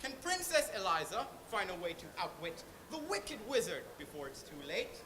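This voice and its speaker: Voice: mid-range voice, big pitch swings, moderate pace, roomy sound, a crowd in the background. A teenager with a Thai accent narrating a story dramatically on stage. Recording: noisy live stage recording.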